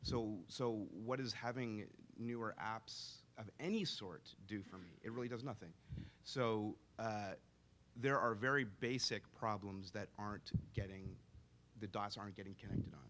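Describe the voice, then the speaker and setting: A man with a low, grounded voice speaks on a public forum panel as a city technology advisor. He talks candidly and thinks out loud, often restarting his sentences as he works out his point. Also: normal speed, a little hiss or hum. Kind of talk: panel discussion.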